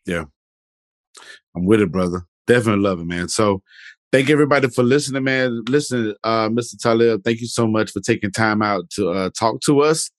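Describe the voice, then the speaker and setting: A man's voice: lowish voice, brisk, dry recording. A man hosting a podcast interview, talking loosely with lots of fillers and restarts. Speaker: podcast host. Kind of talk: casual interview chat.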